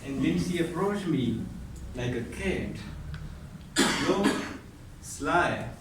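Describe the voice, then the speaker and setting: A man delivers a theatrical story on stage in a high, very animated voice. He takes his time, stretching phrases and pausing for effect. The recording is very echoey and distant, with faint noise. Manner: dramatic, drawn-out delivery with pauses. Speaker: contest speaker. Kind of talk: theatrical storytelling speech to an audience. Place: echoey room at a speech contest. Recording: roomy echo, distant mic, faint noise.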